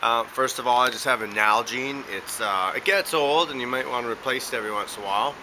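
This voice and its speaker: A man's voice: medium pitch, fast talker. A man from Canada with a stuffed-up cold, rambling hesitantly with many fillers in a casual video diary. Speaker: vlogger. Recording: echoey room with loud background noise.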